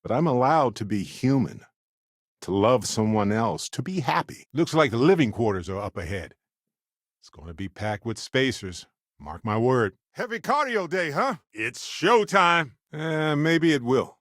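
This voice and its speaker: A man voicing an upbeat, wisecracking, warm-hearted space explorer companion in a video game, chatty and wry with theatrical emphasis. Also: medium pitch, big pitch swings, a little slow, dry recording, clean studio sound. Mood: earnest, warm, then playful, wry.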